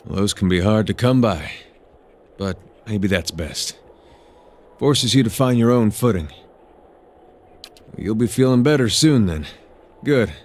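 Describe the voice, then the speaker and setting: An actor playing a weary, plainspoken adventuring companion in a fantasy video game, with a folksy, laconic way of talking. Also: male voice, lowish voice, expressive, a little slow, pauses often, a little mellow. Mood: gentle, probing. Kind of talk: video game character dialogue.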